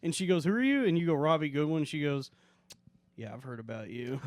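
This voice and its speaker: Guy cohosting a comedy podcast, kinda high voice, acts out all the quotes in his stories, dry studio sound. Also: a little fast, no echo. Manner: animated storyteller acting out quoted voices. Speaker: podcast co-host. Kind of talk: casual podcast chat.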